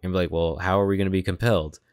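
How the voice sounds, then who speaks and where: A man's voice: low-pitched, steady tone, rapid-fire, no room sound, clean studio sound. A man giving his opinions in casual, slangy conversation as a podcast co-host. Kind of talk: casual chat about games and films.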